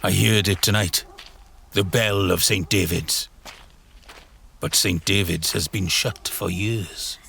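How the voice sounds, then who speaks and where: A man narrating a horror audio drama in a brooding, theatrical way, in short halting phrases. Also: low voice, fairly even intonation, creaky, pushed and bright, husky. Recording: music bed under the voice. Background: music.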